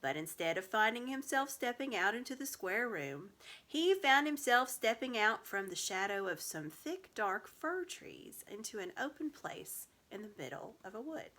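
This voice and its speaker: A woman reading a children's story aloud in an expressive narrating style. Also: fairly high pitch, moderate pace. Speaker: storybook reader.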